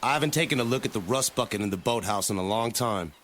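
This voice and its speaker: An actor playing an exasperated, hot-tempered, sarcastic boat business owner in an audio drama. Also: male voice, on the low side, fast, slight background noise. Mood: resigned, worried. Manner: animated, exclaiming, sarcastic.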